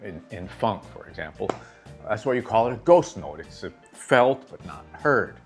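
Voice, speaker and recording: A man teaching drumming in a relaxed, conversational way, asking rhetorical questions and checking in with his listeners. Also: fairly low pitch, moderate pace, creaky, faint music behind. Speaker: drum teacher. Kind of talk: instructional talk to camera.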